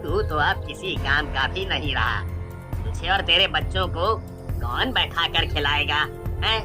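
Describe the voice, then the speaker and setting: A man's voice: a little high, unhurried, close to an everyday voice. A man playing a stingy, callous old uncle who scolds and grumbles in a dramatized moral story. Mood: callous complaining. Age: older. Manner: scolding, ends questions with a sharp "hain?". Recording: music bed behind the voice.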